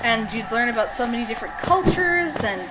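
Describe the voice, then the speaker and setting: A woman's voice: fairly high pitch, lively intonation, fairly quick, heavy background noise. A woman in her thirties from Canada, chatting casually with her stream viewers in a rambling way, full of fillers. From Canada (Alberta). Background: loud background music.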